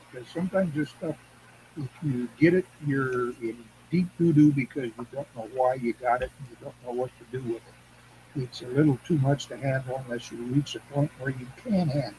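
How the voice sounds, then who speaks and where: An older man talking as an interview guest, telling rambling anecdotes in a folksy, salty way, often halting and repeating phrases. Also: mid-range voice, dark tone. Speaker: guest, Craft elder and teacher. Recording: clearly noisy background hum.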